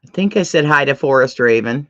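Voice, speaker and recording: A middle-aged woman with a deep voice, hosting a casual livestream chat, chatty and laughing often as she reads out comments. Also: lively intonation, a little fast, muffled recording. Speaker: livestream host.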